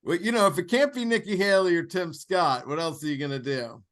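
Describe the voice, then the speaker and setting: A man's voice: high-pitched, a little fast, fairly bright tone. A man co-hosting a podcast in casual political discussion. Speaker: podcast co-host.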